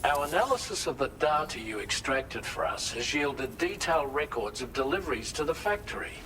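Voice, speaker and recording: An actor playing an earnest, formal male officer giving a measured, precise briefing in a video game. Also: medium pitch, very animated, far from the mic, noisy background, lightly acted. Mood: grave, businesslike. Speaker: mission commander in a game.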